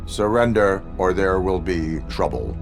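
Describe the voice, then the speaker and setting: A male actor playing a stoic, stern cyborg policeman in a video game, speaking in terse, deadpan declarations. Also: sits low, unhurried, soft background music. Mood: stern warning. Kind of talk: video game cutscene acting.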